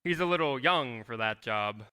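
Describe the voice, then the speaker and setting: A man acts a weary, kindly older father in a vintage radio drama. The delivery is measured and reflective, with a crisp, resonant tone and a bright edge, taped live on stage. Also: mid-range voice, lively intonation. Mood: gentle, hopeful. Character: tired, gentle, idealistic small-town father. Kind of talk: old-time radio play acting on stage.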